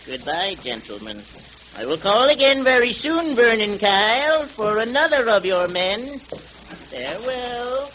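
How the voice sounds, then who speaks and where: An actor in a vintage radio serial playing a coldly vengeful masked avenger, with a theatrical, deliberate, menacing delivery. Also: male voice, sits high, sing-song, pushed and bright, full character voice. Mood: mocking farewell. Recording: noisy, crackly old radio recording.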